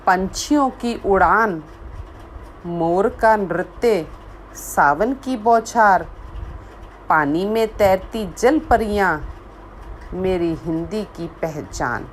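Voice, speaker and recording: A woman reciting a poem she wrote herself, in a measured voice that repeats lines for emphasis, after a short spoken introduction. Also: mid-range voice, expressive, slight background noise, rough recording. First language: Hindi. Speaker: poet. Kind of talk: slow recited poetry.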